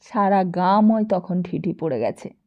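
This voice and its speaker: A woman narrating a novel as an audiobook in an expressive, dramatic storytelling style. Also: fairly low pitch, unhurried, dull audio.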